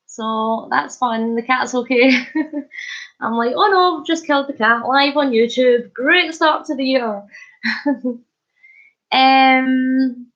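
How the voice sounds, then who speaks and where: A Scottish woman talks casually on a live stream, her voice fairly high and a bit thick from a head cold. She chats warmly and greets viewers as she goes. The sound is dull and lo-fi, as from a phone. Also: slow pace, long pauses. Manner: chatty, warm greetings, chuckles. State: head cold, sore throat. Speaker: vlogger hosting a live stream.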